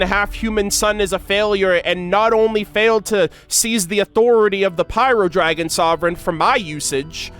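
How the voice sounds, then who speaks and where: A man giving excitable, slangy reaction commentary as a gaming creator, mixing in read-aloud game lore. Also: sits high. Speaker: gaming content creator, host.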